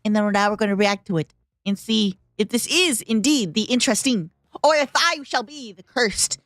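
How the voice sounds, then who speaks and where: A woman streamer chatting casually with her viewers, her voice hoarse, bubbly and talkative. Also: fairly high pitch, moderate pace, a bit bright, no echo, clean studio sound. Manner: bubbly, talks to chat, hums tunes. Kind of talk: casual livestream reaction chat.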